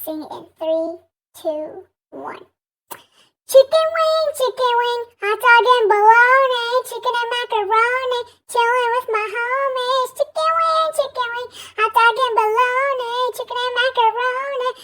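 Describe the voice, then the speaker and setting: A casual, rambling video creator speaking through a high, squeaky helium-style voice changer. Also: measured pace, bright, forceful tone. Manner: informal, rambling. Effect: high-pitched helium-style voice changer. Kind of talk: casual vlog demonstrating a voice changer.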